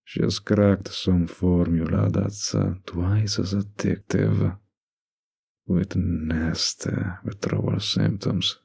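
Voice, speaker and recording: A man playing a smug, dominant mafia boss in an intimate ASMR roleplay, with a gravelly, husky voice and a suggestive, deliberate drawl. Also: low voice, steady tone, darkish tone, heavily acted. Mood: smug, businesslike. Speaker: roleplay voice actor.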